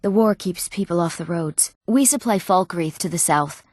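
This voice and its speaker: An actress voicing an eager, earnest young townswoman in a fantasy video game, speaking clearly and expressively. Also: fairly low pitch, normal speed, a little mellow, no room sound, clean studio sound, close to an everyday voice. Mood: plain, inviting. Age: young adult. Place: recording studio.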